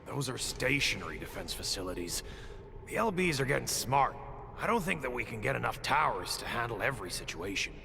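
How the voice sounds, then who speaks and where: An actor playing an earnest, protective male outpost leader in a game cutscene, sincere and reassuring, with a gravelly voice. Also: medium pitch, sing-song, fairly quick, sharp tone, slight background noise, heavily acted. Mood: serious. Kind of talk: dramatic video game cutscene acting. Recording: music behind the voice.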